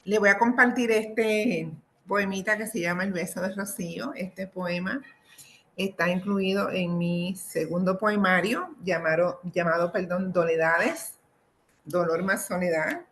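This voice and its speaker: A middle-aged woman from the Republic, presenting and reading her own poetry in a deliberate, expressive way. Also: lowish voice, a little hiss or hum. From Dominican Republic. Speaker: poet and professor presenting own work. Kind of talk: poetry reading with informal introductions.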